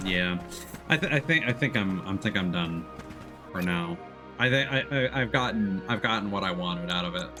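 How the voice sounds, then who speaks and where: A man's voice: sits low, lively intonation, normal speed. A man giving casual, rambling video game commentary as a live streamer, hesitant and stumbling over his words. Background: game music.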